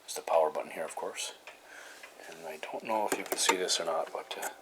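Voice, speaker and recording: A man giving a casual hands-on repair demonstration, talking haltingly with frequent pauses mid-sentence. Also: low-pitched, heavy background noise. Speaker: hobbyist host of a repair video series.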